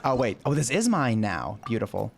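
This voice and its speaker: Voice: mid-range voice, big pitch swings, moderate pace, vocal fry. A game streamer comments casually and playfully, reacting to what unfolds. Music from the game sits faintly behind the voice.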